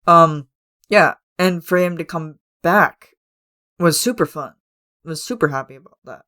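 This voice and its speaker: Hoarse man rambling through a podcast. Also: on the high side, unhurried, bright, forceful tone. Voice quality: raspy. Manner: rambling, many fillers and restarts. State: hoarse, losing his voice; congested, coughing. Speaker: podcast host. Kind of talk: casual solo podcast chat. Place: his room at home.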